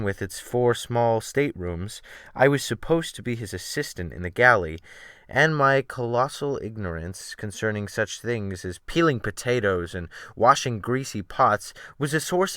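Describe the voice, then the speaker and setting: A man performs dramatic literary narration at a medium pitch with lively, wide intonation. His phrasing is deliberate and theatrical, recorded close and dry. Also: dry recording. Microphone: close USB desktop microphone.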